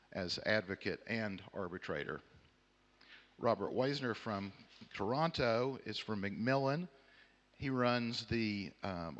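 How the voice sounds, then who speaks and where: A middle-aged American man with a Southern accent and a fairly low voice moderates a panel. He speaks to the audience in a measured, courtly manner with dry wit. His voice rings through a large hall with long reverb. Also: a bit bright. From Houston, Texas, United States. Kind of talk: conference opening remarks to an audience.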